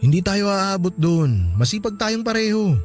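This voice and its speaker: A man's voice: high-pitched, very animated, normal speed. A man playing a meek, apologetic young husband in a radio drama, hesitant and pleading. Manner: hesitant, pleading, soft-hearted. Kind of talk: radio drama acting in dialogue. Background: background music.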